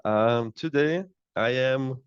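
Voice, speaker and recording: A man's voice: medium pitch, slow pace, clear, resonant tone, no room sound, dull audio. A man in his early twenties from Lithuania, speaking English with a Lithuanian accent, answering interview questions hesitantly as he searches for words. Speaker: startup co-founder, podcast guest.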